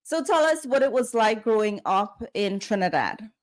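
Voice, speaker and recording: High-voiced woman hosting an interview. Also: animated, moderate pace. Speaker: interview host. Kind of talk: conversational interview.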